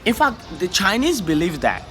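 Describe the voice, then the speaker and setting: A man's voice: high-pitched, wildly swooping pitch, moderate pace, fairly bright tone, faint music behind. A man from Africa giving an impassioned motivational vlog monologue, repeating himself and stumbling over words when heated. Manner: fervent, repetitive, exhorting, stammers when heated. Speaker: vlogger.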